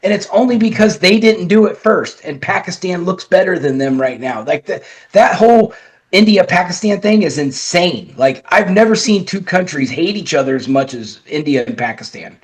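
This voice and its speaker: A man's voice: sits high, lively intonation, normal speed. A man sharing blunt political opinions in a casual chat, emphatic and often restarting his sentences. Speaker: guest commentator.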